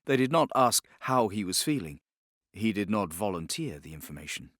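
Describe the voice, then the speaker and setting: Low-voiced male audiobook narrator, very dry. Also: very animated, moderate pace, fairly bright tone, dry recording. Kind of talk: scripted dramatic narration. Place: studio, close and dry.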